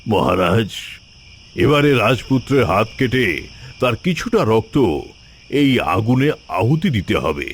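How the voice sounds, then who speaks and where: A man voicing a scheming, vengeful tantric sorcerer in a cartoon fairy tale, boastful and theatrical in his deliberate delivery. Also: mid-range voice, very animated, gravelly, slight background noise. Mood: solemn, persuasive.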